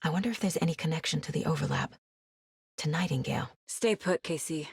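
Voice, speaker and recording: An actress playing a determined, dry-witted FBI agent in a video game, speaking in a focused, understated way with a husky, breathy voice. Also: female voice, sits low, a little fast, dry recording, exaggerated voice. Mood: focused, pensive. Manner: focused, understated, often muttering to herself. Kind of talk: naturalistic video game dialogue.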